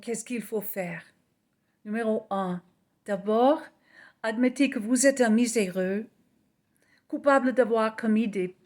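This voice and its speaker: Slow earnest woman preacher. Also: on the high side, darkish tone. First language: English. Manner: earnest, measured, reading aloud. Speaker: evangelist. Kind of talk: slow scripted gospel message.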